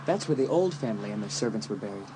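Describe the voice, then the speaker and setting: A man's voice: lowish voice, animated, very fast, lightly acted. Man playing a confident teasing teenage boy. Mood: matter-of-fact. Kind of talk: dramatised audiobook acting. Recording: audiobook tape with faint noise.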